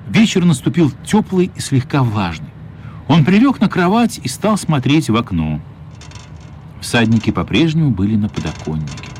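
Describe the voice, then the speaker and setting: A man narrating a children's radio play as scripted storytelling, measured and expressive, with pauses. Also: mid-range voice, slight background noise. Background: music.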